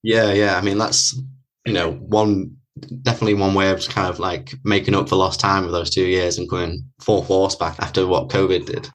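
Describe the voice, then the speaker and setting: Deep-voiced chatty podcast host. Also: male voice, little pitch movement, normal speed, rough recording. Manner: chatty, rambling questions with "you know" and "like". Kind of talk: interview questions.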